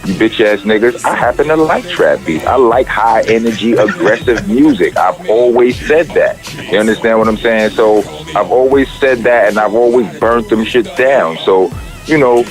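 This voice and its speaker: A middle-aged man with a deep voice, a rapper talking casually in an interview, rambling and profane, with many restarts. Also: normal speed, faint music behind.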